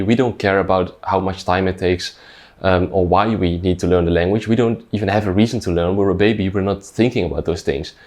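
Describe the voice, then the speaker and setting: A man's voice: deep voice, darkish tone. A man whose first language is Dutch, talking through ideas on language learning in a reflective, conversational way with frequent restarts. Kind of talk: reflective talking-head explainer.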